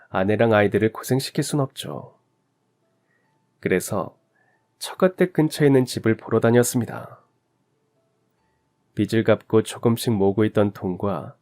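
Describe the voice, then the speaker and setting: A man narrating a family story in a calm, reflective, confessional way. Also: mid-range voice. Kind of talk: scripted radio story narration.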